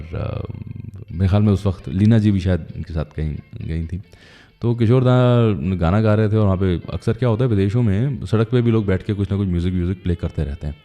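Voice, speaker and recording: A man's voice: on the low side, mellow, big-room sound. Chatty male radio show host. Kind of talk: chatty music radio presenting with anecdotes.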